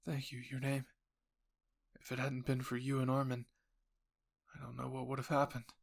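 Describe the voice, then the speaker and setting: A man's voice: mid-range voice, monotone, moderate pace, gravelly. A man voicing an earnest, protective young male soldier in an audio roleplay, pleading and reassuring. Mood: grateful.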